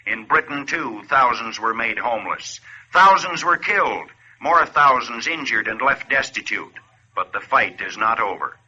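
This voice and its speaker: Casting: grave male radio narrator, very sharp and forceful, declamatory wartime style, muffled vintage sound. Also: medium pitch, animated, moderate pace, husky, heavily acted. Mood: grave, urgent. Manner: solemn, declamatory. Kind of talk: scripted 1940s radio narration. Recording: dull lo-fi 1940s radio recording.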